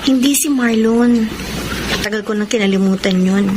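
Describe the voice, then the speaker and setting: A woman's voice: on the high side, moderate pace, close to an everyday voice. A woman acting a guarded, secretly heartbroken young woman in a radio drama, with a Filipino accent. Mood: hurt, guarded. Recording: clear background ambience noise.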